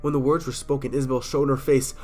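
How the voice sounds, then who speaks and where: A man's voice: medium pitch, little pitch movement, fast talker, darkish tone. A man with a stuffy cold, casually reading horror stories aloud in a chatty, self-deprecating way and sometimes stumbling over words. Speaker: storyteller.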